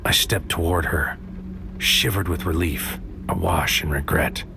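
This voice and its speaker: An actor narrating as a bitter psychic soldier, delivering poetic, clipped noir lines in a brooding manner. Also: male voice, low voice, measured pace, creaky, background noise. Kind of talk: noir spoken-word scripted narration.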